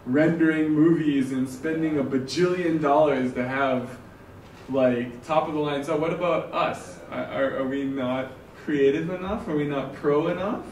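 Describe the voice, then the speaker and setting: A man at a meetup, talking loudly and animatedly in a casual group discussion. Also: a little high, unhurried. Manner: loud, animated, talks in bursts. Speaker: meetup attendee. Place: meetup room. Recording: roomy, from far off, faint noise.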